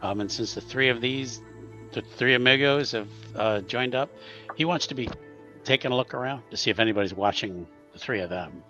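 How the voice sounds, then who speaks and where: A man playing a tabletop game, calmly describing what his character does. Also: medium pitch, brisk, muffled recording, soft background music. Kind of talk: casual chat while playing.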